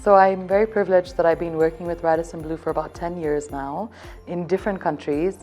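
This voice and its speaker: A woman's voice: fairly low pitch, fairly even intonation, brisk, a little mellow, faint music behind. A woman in her thirties from Zambia, speaking as a hotel events representative. She gives upbeat, promotional interview answers and keeps circling back to one theme.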